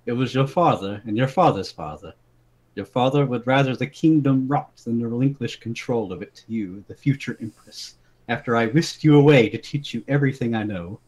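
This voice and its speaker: A man's voice: mid-range voice, brisk, darkish tone, natural voice, rough recording. A man voicing a wise, cryptic all-knowing storybook lady with solemn, grandly formal speech.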